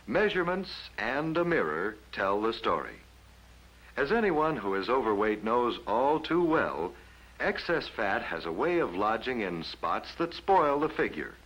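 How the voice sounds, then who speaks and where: A man's voice: mid-range voice, fairly bright tone, husky, poor audio. An American man narrating a 1950s instructional film from a script in a formal announcer manner, on an old film soundtrack. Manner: formal, measured announcer delivery.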